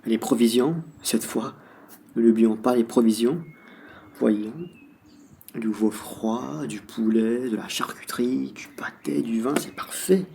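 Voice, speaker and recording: Bossy, fussing bourgeois husband for radio drama, male actor; mellow tone, faint background noise. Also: mid-range voice, fairly even intonation, a little slow, vocal fry. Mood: fussy. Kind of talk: French radio play acting.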